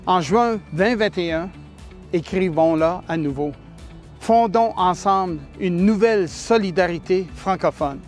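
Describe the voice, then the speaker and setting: A man's voice: sits high, measured pace, soft background music. A man reading a scripted voice-over in a solemn, rallying tone.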